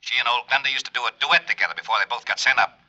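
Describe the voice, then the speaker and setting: An actor playing a gruff, exasperated male police lieutenant who talks brusquely and scolds in an old radio drama. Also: mid-range voice, rapid-fire, sharp tone. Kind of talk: 1950s radio drama acting. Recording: muffled vintage radio broadcast.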